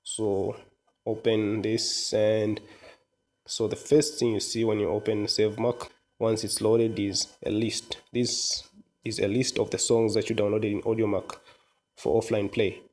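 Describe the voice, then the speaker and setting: A man narrating a software tutorial in an informal, step-by-step explanatory way. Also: on the low side, level tone, measured pace. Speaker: tutorial presenter. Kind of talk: casual software walkthrough narration.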